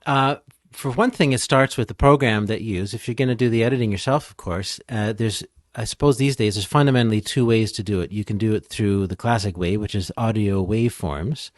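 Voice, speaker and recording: A man's voice: fairly low pitch, brisk, no room sound, studio quality. A man speaking as an expert guest in a casual interview, explaining things step by step in a conversational way. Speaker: podcast editing expert guest.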